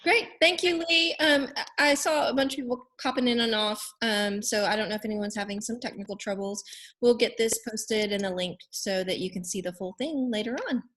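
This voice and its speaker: A woman's voice: fairly high pitch, a little fast, fairly bright tone. A woman hosting an online reading event, making casual announcements.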